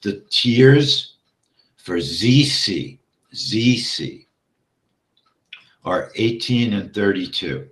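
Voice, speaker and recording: Low, slow man getting hoarse. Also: echoey room. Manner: steady, explains step by step, often checks with "okay?". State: getting hoarse, clears throat often. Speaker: trading instructor. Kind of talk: live trading walkthrough.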